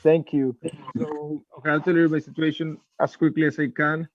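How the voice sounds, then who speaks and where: A man's voice: fairly high pitch, flat delivery, normal speed, no room sound. A young man calling into a live show to ask an earnest, rambling question about his plans. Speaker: caller. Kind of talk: asking a question on a video call. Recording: tinny, phone-like line.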